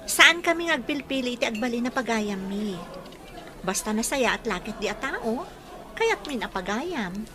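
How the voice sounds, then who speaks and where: Woman playing a kind nurse, fairly high voice. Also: expressive, moderate pace, background noise, close to an everyday voice. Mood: kind, welcoming. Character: kind, welcoming young woman working as a nurse. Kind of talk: Ilocano audio drama acting.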